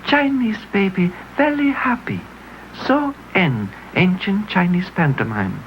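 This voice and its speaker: A woman's voice: mid-range voice, animated, measured pace. A woman narrating an old commercial as a little story, in clipped, broken English. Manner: broken, pidgin-style English delivery. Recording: dull, noisy vintage broadcast audio.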